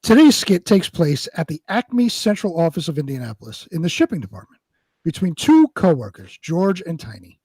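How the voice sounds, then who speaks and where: A man's voice: on the high side, big pitch swings, moderate pace, dark tone, breathy edge, no room sound, rough recording. A man co-hosting a casual live show, chatting and reading out narration. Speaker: show co-host and drama narrator.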